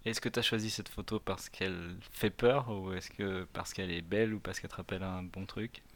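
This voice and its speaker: A man hosting a casual chat, relaxed, asking guests questions and laughing easily. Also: deep voice, a little fast. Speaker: host.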